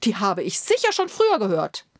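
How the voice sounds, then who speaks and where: A woman voicing a group of foolish courtiers who exclaim in high, excited voices. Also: a little fast, no echo, studio quality.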